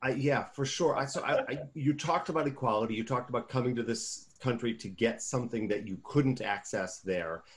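Deliberate male interviewer. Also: mid-range voice. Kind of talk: interview questions.

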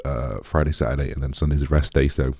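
Very deep, raspy British man. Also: fairly even intonation, quick pace, warm tone, no room sound, clean studio sound. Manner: rambling, informal, sweary. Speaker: podcast host. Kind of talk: casual solo podcast chat.

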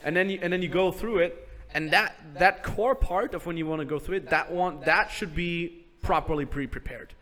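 A man hosting a podcast, giving casual business advice step by step. He keeps acting out sample sales pitches and checking in with "right?". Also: moderate pace, few pauses, pushed and bright. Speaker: business coach host.

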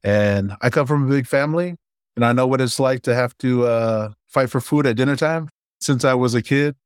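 A man in his forties from Hawaii, a startup founder chatting casually and warmly about his life as an interview guest. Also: mid-range voice, moderate pace, smooth, no room sound, studio quality.

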